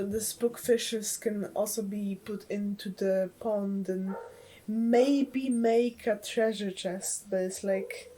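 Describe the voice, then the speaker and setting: Female game streamer, Polish accent, unhurried and soft-edged, fairly high voice, easygoing chat with faint music. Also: poor audio. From Poland. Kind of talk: casual livestream game chat.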